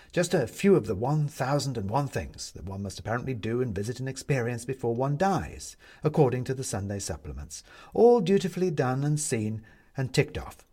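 A man reads a storybook chapter aloud in a husky voice, wry and expressive, his pitch rising and falling freely. The delivery is measured and clearly scripted. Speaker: audiobook narrator.

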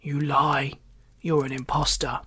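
A horrified, flustered gentleman as a storybook narrator plays him. He speaks nervously and haltingly, as if unable to believe his situation. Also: mid-range voice, little pitch movement, breathy edge. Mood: frantic, incredulous. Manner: nervous, hesitant, incredulous. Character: frantic, horrified, flustered new father, a gentleman.

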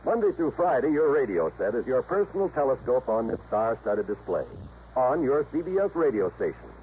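An American man announcing in a scripted mid-century network radio style, formal and grandiose with carefully measured phrasing. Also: mid-range voice, very animated. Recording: muffled old radio broadcast, faint noise.

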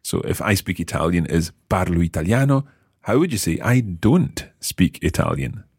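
A man with a low, slightly husky voice leads a language lesson. He talks with a lively rise and fall, repeating phrases slowly so they sink in. The sound is dry and close. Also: dry recording. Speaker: language teacher and host. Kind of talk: explaining and quizzing co-hosts.

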